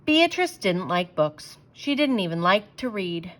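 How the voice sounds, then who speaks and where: A woman reading a children's storybook aloud as an expressive storyteller, with emphatic phrasing. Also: mid-range voice, a little slow.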